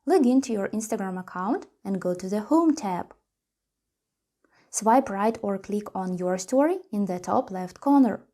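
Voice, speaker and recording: A woman's voice: mid-range voice, mellow. A woman presenting a tutorial, reading scripted step-by-step instructions.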